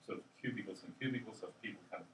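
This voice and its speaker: Older man, very low, halting. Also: slight background noise. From Australia. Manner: halting, many ums, thinks aloud. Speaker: interviewee, linguist. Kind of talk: reflective interview answers. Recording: roomy, distant mic with faint ambience.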